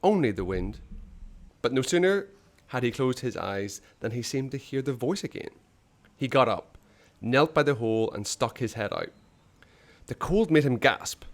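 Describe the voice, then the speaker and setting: Male storybook narrator, mid-pitched and very animated, theatrical, building suspense and dropping to hushed endings. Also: moderate pace. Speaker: storybook reader. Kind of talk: dramatic read-aloud narration of a children's adventure story.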